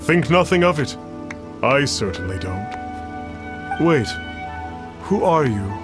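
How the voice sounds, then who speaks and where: Grandiose game mystic, pompous, stately, slow, sweeping intonation, music behind. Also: male voice, mid-range voice, sing-song. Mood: pompous, lofty. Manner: pompous, stately, archaic "one" for himself. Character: pompous self-styled immortal master, grandiose mystical charlatan. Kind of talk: video game voice acting.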